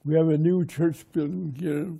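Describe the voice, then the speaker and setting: A man whose first language is Lakota, from Pine Ridge, telling his community's oral history in measured, short, plain sentences. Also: fairly high pitch, steady tone, gravelly, warm tone, slightly rough. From Pine Ridge, South Dakota. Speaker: interviewee, local historian.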